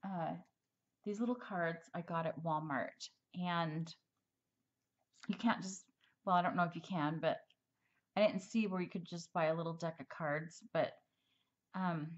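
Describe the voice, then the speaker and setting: A woman giving a casual craft tutorial at a table, chatty and rambling with frequent ums, slightly hoarse as she loses her voice. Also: lowish voice, steady tone, measured pace, long pauses. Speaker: crafter showing a handmade project. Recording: dull, lo-fi home recording.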